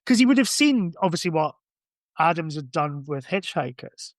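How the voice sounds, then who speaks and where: Higher-voiced man chatting, rambling a bit. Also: big pitch swings, moderate pace, dry recording. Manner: rambling, thinking aloud. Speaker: podcast guest or co-host. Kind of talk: casual chat.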